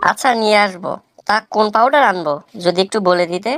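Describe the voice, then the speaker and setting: A woman playing a placating, boastful husband in a comic cartoon, coaxing and flattering with a rural Bangladeshi accent. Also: medium pitch, fast, dry recording, clean studio sound, close to an everyday voice. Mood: boastful, agreeable. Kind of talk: melodramatic comic cartoon dialogue.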